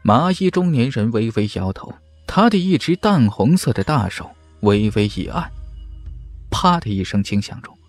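A man reading scripted fantasy narration dramatically as an audiobook narrator. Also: mid-range voice. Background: background music.

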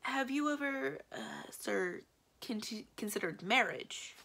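A woman's voice: medium pitch, big pitch swings, slow, vocal fry, breathy edge, voice pushed up, heavily acted. A woman voicing an anxious, deferential male secretary in a storybook, polite and hesitant in his speech.

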